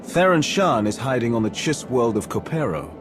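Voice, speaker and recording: Man playing a formal, expressive aristocratic envoy. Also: medium pitch, lightly acted. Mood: smooth. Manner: formal, measured, diplomatic. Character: courteous, calculating male alien aristocrat and military envoy. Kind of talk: cinematic video game dialogue. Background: music.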